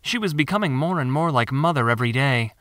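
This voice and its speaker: A man reading his own story as scripted dramatic narration, measured and theatrical. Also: medium pitch, expressive, fairly bright tone, no echo, studio quality. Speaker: author narrating own audiobook.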